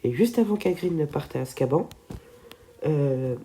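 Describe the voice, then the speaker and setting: Teen girl host with a stuffy cold; low, mellow voice, chatty and a bit stumbling. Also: animated, normal speed, a little hiss or hum. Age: teens. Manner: chatty, trips over words and restarts. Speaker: film video co-host. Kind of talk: casual video commentary.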